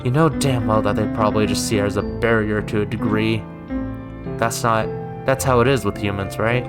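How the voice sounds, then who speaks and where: Low-voiced man reading a visual novel. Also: fairly even intonation, normal speed, loud music behind the voice. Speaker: gaming YouTuber.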